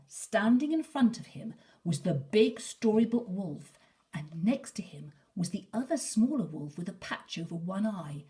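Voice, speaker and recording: A woman storyteller narrating a children's bedtime story expressively and dramatically, sometimes softening toward a breathy near-whisper. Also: lowish voice.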